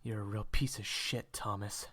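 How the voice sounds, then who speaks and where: A man playing a wounded, foul-mouthed, defiant young captive in an audio roleplay, with a husky, strained voice. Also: on the low side, fairly even intonation, moderate pace, bright, forceful tone. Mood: spiteful.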